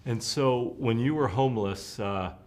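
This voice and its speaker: A man's voice: medium pitch, a little slow, a little mellow. A man hosting an author interview, asking prepared questions with frequent filler sounds. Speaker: interview host. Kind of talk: hosted interview.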